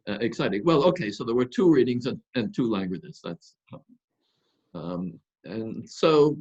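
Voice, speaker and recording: A man introducing a guest speaker as host of an academic seminar, speaking semi-formally in a halting way with rephrasing. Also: medium pitch, darkish tone, muffled recording.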